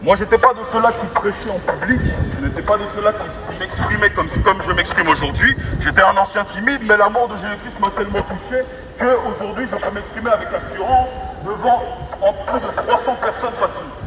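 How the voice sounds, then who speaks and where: A man preaching in the open air through a megaphone, declamatory and repetitive, with halting restarts. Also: high voice. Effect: megaphone amplification. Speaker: street evangelist. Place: outdoors in a busy urban public space. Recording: megaphone voice outdoors, distant, echoing, street bustle. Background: street crowd and vehicles.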